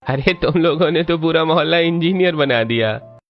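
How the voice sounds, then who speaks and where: A man acts out an older father-in-law from a family cartoon. He is homely and full of exclamations, with the voice pushed higher. The audio is a bit dull. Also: normal speed, soft background music, close to an everyday voice. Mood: surprised.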